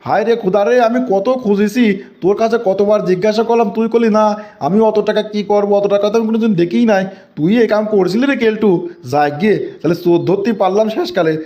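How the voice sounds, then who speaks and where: A man's voice: high-pitched, fast, warm tone, natural voice. A man voicing a stingy village father in a cartoon, scolding and mocking in a Bogura Bangla accent. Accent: Bogura dialect of Bangla.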